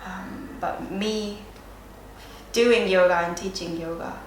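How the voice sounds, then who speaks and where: A woman from Japan with a Japanese accent, a native Japanese speaker giving reflective, unscripted interview answers, hesitant and searching for words with frequent pauses. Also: medium pitch, steady tone. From Sendai area, Japan. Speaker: interviewee, yoga and Japanese teacher. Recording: roomy echo, clear background noise.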